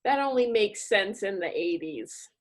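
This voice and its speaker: A woman's voice: mid-range voice, lively intonation, measured pace. A woman comedian reading scripted comedic narration with wry commentary.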